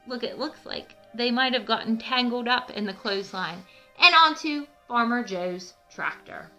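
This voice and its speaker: A woman's voice: on the high side, normal speed, sharp tone, faint music behind. A woman narrating a children's storybook expressively as read-aloud host, with teacherly explanatory asides.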